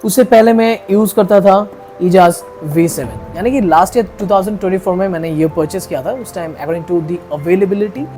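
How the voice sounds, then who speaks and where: A man's voice: sits high, normal speed, dark tone. Indian man reviewing gear, music behind. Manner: explanatory, mixes English phrases into sentences. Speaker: vlogger reviewing motorcycle gear. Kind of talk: casual product review to camera.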